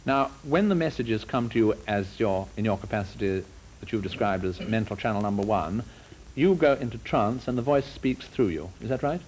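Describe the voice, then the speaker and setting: A man with a lowish voice conducts a formal broadcast interview. He phrases each question with measured, probing deliberation. The 1950s recording carries faint noise behind him. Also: darkish tone, poor audio.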